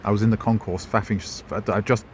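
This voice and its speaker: A middle-aged man talks his way through a town on foot, his voice sitting low. He wanders off on tangents and makes dry jokes at his own expense. Faint traffic hums behind him in the open street. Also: steady tone, brisk. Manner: wry, digressive, self-mocking asides. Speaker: travel vlogger. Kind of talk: rambling walking-tour commentary. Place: outdoors in a town centre street.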